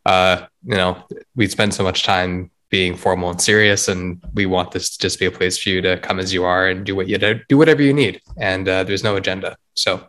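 A man co-hosting a casual interview podcast, relaxed as he explains the show's loose, informal format. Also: low voice, fairly quick. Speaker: podcast co-host.

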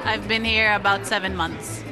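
A woman's voice: medium pitch, steady tone, moderate pace. A woman from the Philippines giving short, friendly answers in a street interview. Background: music and crowd.

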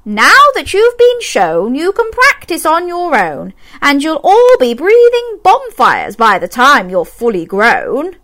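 A bossy dragon schoolmistress, put on by a woman reading aloud barks her lessons in a high, bright, swooping voice. She stresses each command like a strict storybook teacher. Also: wildly swooping pitch, slow pace, few pauses, exaggerated voice. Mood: instructing. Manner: bossy, emphatic teacher's delivery. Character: stern female dragon schoolteacher.

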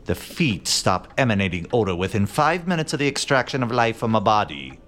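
A grandiose, aloof male detective in a comic mystery audio drama. His pitch ranges extremely widely as he pronounces each deduction with deliberate, self-assured pomp. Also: big pitch swings, slight background noise. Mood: confident, grandiose. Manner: theatrical, haughty, deliberate, given to hushed musing.

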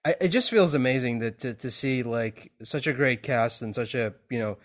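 A man's voice: mid-range voice, normal speed. A man hosting a podcast interview, talking casually with many restarts and laughs. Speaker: podcast host.

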